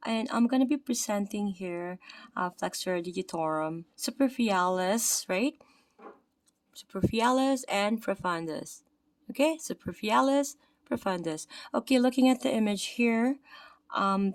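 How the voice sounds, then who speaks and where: A woman's voice: medium pitch, no room sound. Tired Filipino woman reading anatomy notes. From Philippines. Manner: reads aloud with slips, self-corrections and filler "okay, right?". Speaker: anatomy study presenter. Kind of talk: reading aloud from a textbook.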